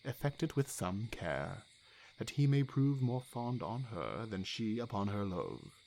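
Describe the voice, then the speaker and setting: A man's voice: on the low side, very animated, a little slow, vocal fry, darkish tone, a little hiss or hum, exaggerated voice, poor audio. An actor playing a commanding, scheming fairy king in classical Shakespearean verse, with a declamatory, theatrical manner.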